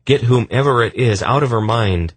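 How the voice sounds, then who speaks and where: A man's voice: on the low side, fairly even intonation, a little slow, a little mellow, pitched above the performer's own voice, close to an everyday voice. Man acting an anxious, pleading fantasy king. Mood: alarmed, pleading. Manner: urgent, pleading. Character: anxious king, fearful and pleading for his wife.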